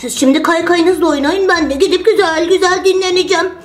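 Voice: extremely high pitch, steady tone, fast talker, soft background music. A performer voicing a friendly, placating bear in a children's toy play story, with an exclaiming manner. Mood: relieved, polite.

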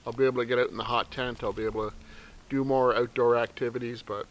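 A man's voice: medium pitch, fast talker, low-quality recording. A middle-aged man hosting his outdoor channel, chatting casually and easily to camera while seated in a snowy forest. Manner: relaxed, rambling, soft-spoken asides to his dog.

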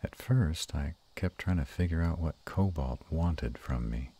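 Man playing a very deep, gravelly were-dragon lover. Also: expressive, warm tone, exaggerated voice. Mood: thoughtful. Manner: slow, halting, intimate murmur. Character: gruff but tender male were-dragon lover. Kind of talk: intimate romantic audio roleplay.

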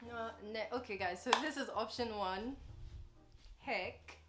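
A woman's voice: a little high, a little slow, over loud music. A woman in her twenties chatting casually and playfully to camera as a vlogger, addressing her viewers directly in a bedroom. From Ghana. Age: 20s. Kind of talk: casual vlog chat to camera. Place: bedroom, indoors.

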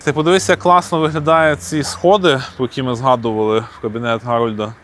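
A man's voice: mid-range voice, fairly even intonation, a bit bright, slight background noise. A man hosts a casual walking interview, enthusiastic and playful. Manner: enthusiastic, playful, quick remarks. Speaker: vlog host. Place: rooftop outdoors, then indoor hall.

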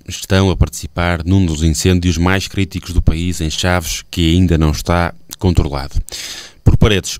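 A man's voice: deep voice, smooth, no room sound. A man with a European Portuguese accent reading scripted regional news on the radio as a newsreader. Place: radio studio.

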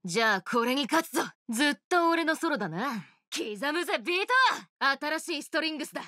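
A woman's voice: sits high, sing-song, fairly quick, sharp tone, no echo, clean studio sound, heavily acted. A cocky oni rock star girl. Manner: swaggering, boastful, rough tough-girl speech. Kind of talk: video game voice lines. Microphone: studio mic, dry.

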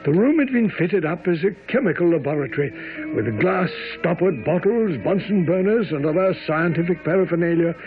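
An actor playing an earnest, excitable gentleman companion to a detective in a radio drama. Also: high-pitched, fairly quick. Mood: grave narration. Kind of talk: radio drama acting and narration. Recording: muffled old radio broadcast with music.

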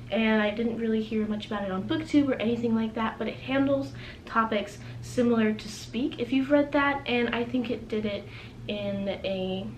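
A young adult woman talking casually and conversationally to viewers as a book vlogger. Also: on the high side. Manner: informal, conversational. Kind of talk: casual chatty video blog. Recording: roomy echo, faint background noise.

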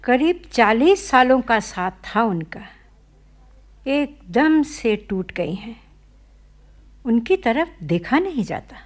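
An actress playing a frank, troubled working wife and mother in a family radio play, speaking in a measured, pointed way. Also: on the high side, sing-song. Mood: sorrowful. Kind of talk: Hindi radio play acting.

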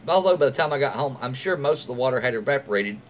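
A man in his forties with an American accent reads a story aloud in a medium-pitched voice. He is informal and sometimes trips on a word and fixes it. The home recording is slightly dull and lo-fi, with faint noise behind him. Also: lively intonation, fast talker. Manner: stumbles over words and corrects himself. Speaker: storyteller reading online posts aloud. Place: small room at home, indoors.

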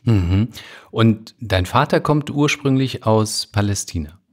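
A man's voice: on the low side, animated, slow pace. Polite podcast host man. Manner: polite, formal, carefully phrased. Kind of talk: scripted intro then interviewing.

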